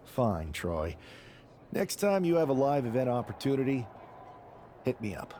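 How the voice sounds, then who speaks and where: A sardonic young-career pro wrestler, dry and stubborn. His mid-pitched voice swings in lively fashion through game cut-scene dialogue, over a faint noise bed. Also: unhurried. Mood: dry, irritated. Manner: dry, sarcastic.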